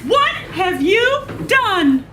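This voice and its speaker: A woman's voice: very high voice, big pitch swings, very slow, pushed and bright, slight background noise, voice pushed up, full character voice. A woman voicing an exasperated, scolding mother of a young boy in a children's storybook. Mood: exasperated yelling. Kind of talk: storybook character voice.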